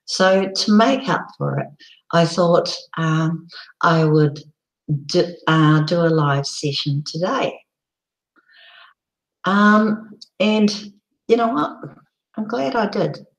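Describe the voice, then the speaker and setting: A woman hosting a casual live broadcast, chatting loosely with many ums and restarts, her voice croaky and hoarse. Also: on the low side, lively intonation, takes it slowly, long pauses, roomy sound, poor audio. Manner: rambling, lots of ums and restarts. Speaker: live-stream host. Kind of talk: casual live-stream chat.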